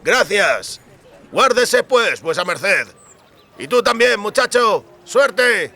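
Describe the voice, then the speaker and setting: A man's voice: high voice, big pitch swings, slow, bright, forceful tone, voice pushed up, exaggerated voice. A man voicing a brash, jovial mercenary soldier with a hearty, folksy way of talking in an audiobook. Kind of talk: audiobook character acting.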